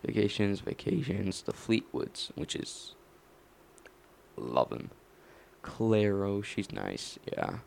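Slow, gravelly low-voiced young man. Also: big pitch swings, darkish tone, slight background noise. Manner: mumbled, slow, halting, with many fillers. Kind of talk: rambling late-night solo talk.

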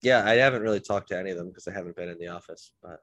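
A man's voice: low voice, very fast, a bit bright, no echo. A man hosting a podcast, a bit congested from illness, telling rambling self-deprecating stories in a casual chat. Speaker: podcast host.

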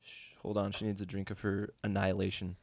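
A man's voice: deep voice, completely flat delivery, brisk, creaky, breathy edge, no echo. A man co-hosting a podcast, chatting casually and easygoing, often with short agreeing reactions. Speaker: podcast co-host. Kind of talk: casual banter chat.

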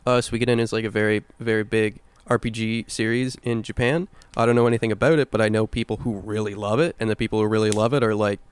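A man's voice: fairly low pitch, fairly even intonation, fast, few pauses, smooth. A man co-hosting a podcast, talking casually and with firm opinions about video games. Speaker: podcast host.

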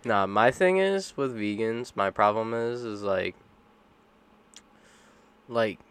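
A young man chatting casually on a podcast while high, rambling and teasing his friends. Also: takes it slowly, a bit bright, clear, resonant tone. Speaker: podcast co-host. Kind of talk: casual chat.

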